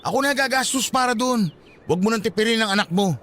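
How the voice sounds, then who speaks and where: A man's voice: high voice, fast, pushed and bright. A man playing a boastful, dismissive young Filipino husband and new father, insistent and argumentative, in a radio drama. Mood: irritated, dismissive.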